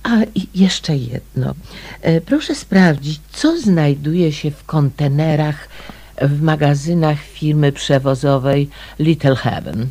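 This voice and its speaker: A woman's voice: sits low, takes it slowly, warm tone, heavily acted. An actress playing a polite, persistent lady amateur sleuth in a radio drama, courteous and a little hesitant as she makes inquiries. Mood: earnest.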